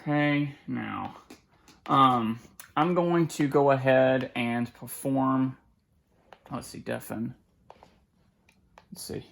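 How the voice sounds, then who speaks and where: A person giving a casual hands-on demonstration, halting and muttering to themself while working through each step. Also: medium pitch, vocal fry. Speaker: video creator doing a product setup.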